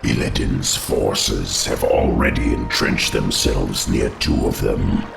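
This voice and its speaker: An ancient undead insect lord from a fantasy game, rumbles at an extremely low pitch. The voice is heavily gravelly and hollow, with slow, solemn phrasing. It echoes under music. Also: male voice, noisy background, heavily acted. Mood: grave, advising. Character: ancient giant undead insect king, grave loyal guide. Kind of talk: fantasy video game acting. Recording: echoing voice under loud music.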